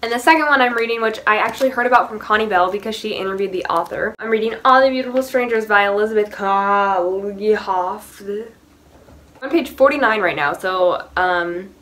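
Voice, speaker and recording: A woman's voice: medium pitch, moderate pace, fairly bright tone. A teenage girl chatting casually to camera about books, rambling with lots of fillers and little laughs. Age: teens. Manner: rambling, filler-heavy, giggly. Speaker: book vlogger.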